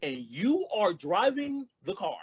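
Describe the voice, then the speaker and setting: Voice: mid-range voice, wildly swooping pitch, measured pace, breathy edge, highs cut off, full character voice. A performer playing a bumbling, easily confused male sidekick in an old-time radio comedy, full of wisecracking retorts. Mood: baffled.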